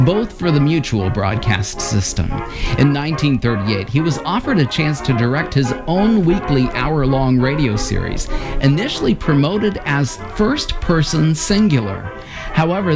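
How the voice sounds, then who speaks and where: A man's voice: mid-range voice, sing-song, moderate pace, music in the background. A man reading scripted narration as a channel announcer.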